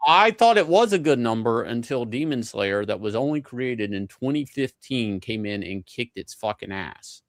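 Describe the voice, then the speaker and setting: A man's voice: medium pitch, animated, moderate pace, few pauses, dry recording. A man in a casual pop culture discussion, arguing his point with hesitant starts and some swearing.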